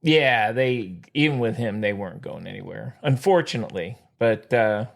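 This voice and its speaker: A man co-hosting a podcast, chatting casually about baseball in a lively, joking, teasing way. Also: lowish voice, moderate pace, gravelly. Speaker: podcast co-host. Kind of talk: casual sports chat.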